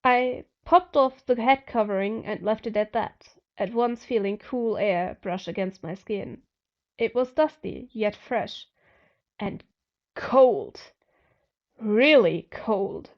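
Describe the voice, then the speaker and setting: A woman's voice: medium pitch, takes it slowly, dull audio. A woman narrating first-person fiction expressively as an amateur audiobook reader.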